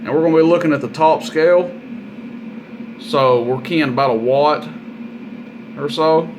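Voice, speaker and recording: Higher-voiced man demoing gear over background noise. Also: normal speed. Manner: plain-spoken, folksy, informal. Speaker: product demonstrator and seller. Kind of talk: casual hands-on radio demo narration.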